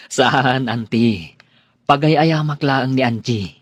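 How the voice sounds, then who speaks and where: An actor with a Filipino accent playing an evasive, reassuring young son of a rich family in a radio drama, placating and hesitant. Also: male voice, medium pitch, very slow, no echo.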